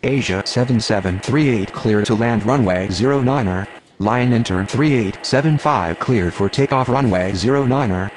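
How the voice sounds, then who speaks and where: A man playing a formal air traffic controller in a simulation game, giving clipped radio calls at a middling pitch. Clear engine-like noise runs behind the procedural delivery. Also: moderate pace, lightly acted. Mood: businesslike. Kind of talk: clipped aviation radio phraseology.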